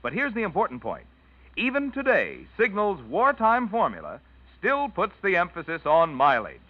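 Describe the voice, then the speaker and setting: A man's voice: high voice, huge pitch swings, normal speed, no room sound. A man announcing a 1940s radio commercial in a folksy, persuasive manner. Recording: dull, dry vintage radio recording.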